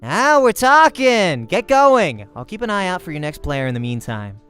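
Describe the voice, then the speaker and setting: A man voicing a cocky, jokey young male pro gamer in a visual novel, with a breezy, teasing, animated delivery. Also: sits high, moderate pace, smooth, soft background music, voice pushed up. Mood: brash.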